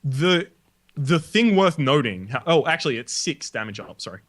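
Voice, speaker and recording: A man's voice: a little high, sing-song, moderate pace, a bit bright. A young Australian man, host of a gaming video, explaining item mechanics in casual chat and swearing now and then. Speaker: let's play host.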